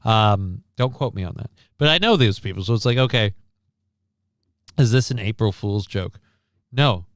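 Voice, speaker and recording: A man's voice: lowish voice, lively intonation, normal speed, no room sound, clean studio sound. A man hosting a livestream, giving casual, profane movie commentary in a blunt, sarcastic, ranting manner. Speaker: livestream host.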